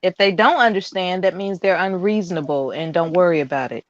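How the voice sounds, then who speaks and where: A woman's voice: on the low side, brisk, dry recording. A woman hosting a casual online panel, giving frank advice and laughing easily. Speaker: panel host. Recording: dull, lo-fi online call audio.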